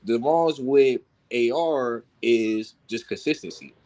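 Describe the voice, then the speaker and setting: A man's voice: mid-range voice, slow, a little mellow. A man in a casual, heated sports debate, talking insistently with lots of slang and swearing. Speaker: sports talk panelist.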